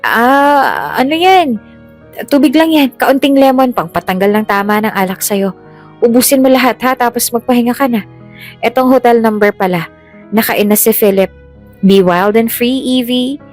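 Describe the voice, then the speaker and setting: Scheming young stepsister, played by a female storyteller, higher-pitched, sugary and coaxing, audio drama. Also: normal speed, soft background music, close to an everyday voice. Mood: falsely sweet. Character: Cecilia, sly scheming evil young stepsister.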